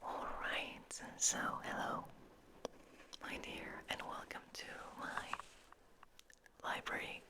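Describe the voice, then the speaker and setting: A woman hosting ASMR, speaking in a gentle, intimate, breathy whisper at an unhurried pace. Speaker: ASMR host. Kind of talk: soft-spoken whispered ASMR.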